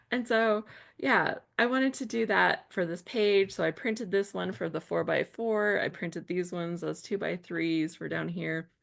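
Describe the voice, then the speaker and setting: A woman talks casually on a live craft stream. Her voice sits low and has a gravelly edge. She laughs often and trails off while sorting through her materials. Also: unhurried. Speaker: co-host of a live scrapbooking crop.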